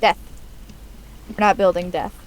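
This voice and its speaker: A woman's voice: fairly low pitch, fairly even intonation, unhurried, a little hiss or hum. A woman streamer chatting casually while she plays a game. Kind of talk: casual live-stream chat.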